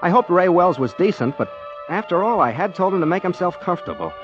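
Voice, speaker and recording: A man's voice: high voice, sing-song, quick pace. A man playing an affable, naive, scatterbrained amateur private detective in a 1940s radio comedy drama. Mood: wry narration. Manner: mild, polite, scatterbrained, hesitant. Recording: muffled vintage 1940s radio recording, music behind.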